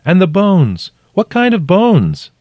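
A man's voice: high-pitched, wildly swooping pitch, takes it slowly, no room sound, studio quality, voice pushed up, heavily acted. Man acting an anxious man calling out urgently. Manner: projected, urgent shouting. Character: worried male companion shouting down to trapped woman, anxious, urgent.

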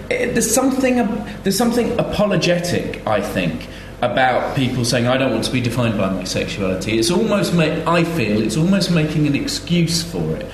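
A man speaking as a panellist in an informal discussion, emphatic and often repeating his phrases. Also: medium pitch. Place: echoey room at a live event. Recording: echoey room, faint noise.

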